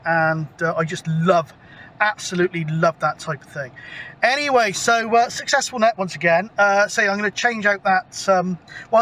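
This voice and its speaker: A man's voice: high voice, bright, forceful tone. A British man in his fifties chatting casually and ramblingly to camera, with mild swearing. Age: 50s. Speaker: CB radio hobbyist, vlogger. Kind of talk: casual rambling vlog chat. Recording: outdoor camera mic with clear background noise.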